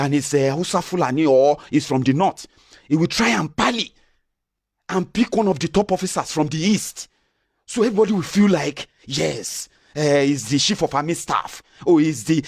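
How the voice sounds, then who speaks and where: A man's voice: a little high, breathy edge, dry recording, clean studio sound. A Nigerian man with a Yoruba accent giving passionate opinion commentary, repeating and restarting phrases as he argues.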